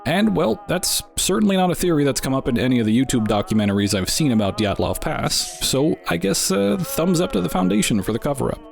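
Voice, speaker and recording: A man's voice: on the high side, very animated, fairly quick, creaky, hardly any pauses. A man hosting a show with scripted narration and dry, tongue-in-cheek commentary. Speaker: show host. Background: background music.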